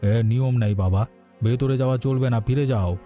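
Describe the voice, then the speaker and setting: A man playing a gruff but soft-hearted fairground gatekeeper in a cartoon fairy tale, brusque and dismissive. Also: mid-range voice, fast talker, phone-quality sound, soft background music, natural voice. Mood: stern.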